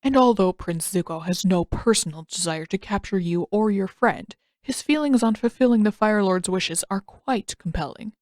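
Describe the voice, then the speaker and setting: A woman voicing a composed, patient elder who speaks formally and deliberately in an audio story. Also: mid-range voice, a little mellow, no room sound, clean studio sound, natural voice.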